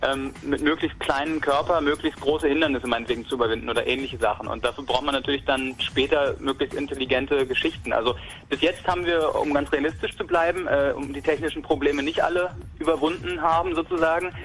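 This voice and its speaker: A man's voice: medium pitch, steady tone, fast, fairly bright tone, soft background music. A young man from Berlin talking casually about a hobby robot project, rambling with many fillers and restarts. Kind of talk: casual technical talk.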